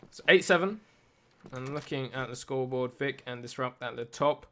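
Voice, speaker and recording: Tired, slightly raspy male game caster. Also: medium pitch, normal speed, pushed and bright. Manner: self-deprecating, talks through tech trouble. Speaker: esports caster. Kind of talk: play-by-play commentary.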